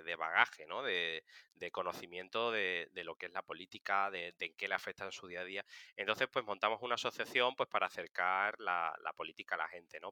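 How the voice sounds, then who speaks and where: Male interview guest, 30s, from Seville, lowish pitch, very bright timbre, casual explainer. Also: clean tone, dry recording. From Seville, Spain. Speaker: interview guest, political science communicator. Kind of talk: casual conversational storytelling.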